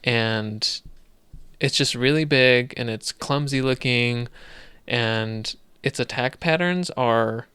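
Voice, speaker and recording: A man co-hosting a casual gaming podcast, explaining game mechanics in a relaxed, matter-of-fact way. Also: medium pitch, takes it slowly, clean tone, no room sound. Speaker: podcast co-host.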